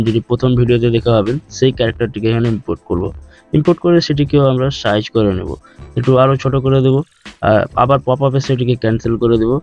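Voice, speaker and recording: A man narrating a step-by-step software tutorial in an instructional way, repeating steps as he goes. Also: mid-range voice. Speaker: tutorial host. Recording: dull lo-fi voice track with music. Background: background music.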